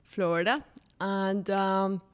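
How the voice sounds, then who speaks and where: A middle-aged woman from Colombia, whose first language is Spanish, talking as a podcast guest about her business, thoughtful and pausing often with fillers. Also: medium pitch, flat delivery, smooth. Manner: thoughtful, with frequent pauses and fillers. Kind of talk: podcast interview.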